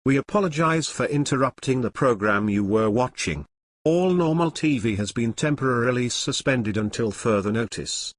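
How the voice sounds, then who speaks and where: A man's voice: mid-range voice, lively intonation, normal speed, no room sound, lightly acted. Man as a dry-sounding TV standby announcer. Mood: formal, impersonal. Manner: formal, impersonal. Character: impersonal male television emergency standby announcer. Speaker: broadcast interruption announcer. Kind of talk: formal television emergency announcement.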